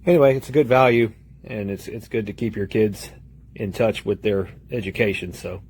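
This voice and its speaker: A man's voice: on the low side, moderate pace, a little mellow, slight background noise. A man giving a casual, plain-spoken product demonstration. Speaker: product reviewer.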